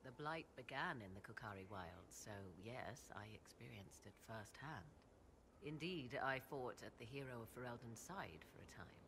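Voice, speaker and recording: Proud mysterious sorceress, creaky voice, archaic formal phrasing, theatrical game dialogue, noisy ambient backdrop. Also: female voice, a bass voice, animated, normal speed, heavily acted. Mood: wry, reflective. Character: proud, enigmatic apostate sorceress, arcane advisor. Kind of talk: video game dialogue acting.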